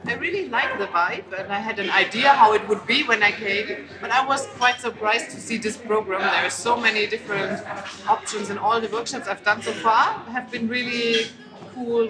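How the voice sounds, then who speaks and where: A high voice speaks in a roomy, echoing space, listing impressions smoothly. Loud music almost covers the speech. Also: male voice, normal speed. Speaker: festival participant. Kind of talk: festival interview. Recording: echoey room with loud music.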